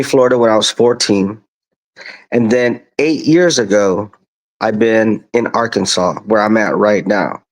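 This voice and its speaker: A man in his fifties from Kansas, born in Colombia, telling his story casually and deliberately as a podcast guest. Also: mid-range voice, rough recording. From born in Colombia, raised in Kansas, USA. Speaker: podcast guest, lawn business owner.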